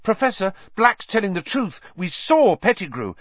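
A man's voice: extremely high pitch, lively intonation, moderate pace, dry recording, highs cut off, voice pushed up. A man voicing an earnest thirteen-year-old schoolgirl, pleading urgently in an audiobook story.

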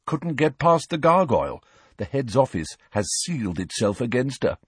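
A man's voice: medium pitch, big pitch swings, normal speed, slightly rough, dry recording, voice pushed up. A confident teenage prefect from a storybook speaks in an earnest, raised voice, read by a man. He sounds proper and certain of the rules. The recording is close and dry. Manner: confident, conspiratorial.

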